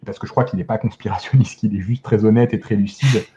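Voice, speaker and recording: Slightly muffled recording, guy with a mellow voice chatting on a podcast, keeps hesitating n repeating words. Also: lowish voice, steady tone, fast. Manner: hesitant, searching for words, repeating word starts. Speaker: guest film critic. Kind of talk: casual podcast discussion.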